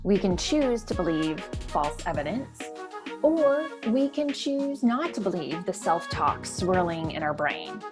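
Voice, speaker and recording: A woman hosting a podcast, moving between scripted introduction and interview questions. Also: mid-range voice. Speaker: podcast host.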